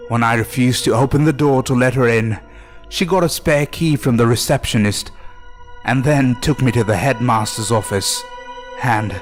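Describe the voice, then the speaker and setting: A man's voice: medium pitch, moderate pace. Man narrating horror over music. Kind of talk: first-person scripted horror story narration.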